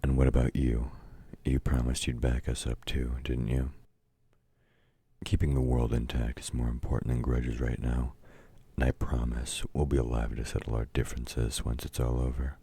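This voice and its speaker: Man acting very deep, husky, domineering villain. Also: little pitch movement, moderate pace, mellow, no echo, exaggerated voice. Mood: gruff reassurance. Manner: gruff, mocking, commanding, addressing a meek listener. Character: domineering, taunting male villain, grudging temporary ally of heroes. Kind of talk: intimate audio roleplay, scripted dramatic acting.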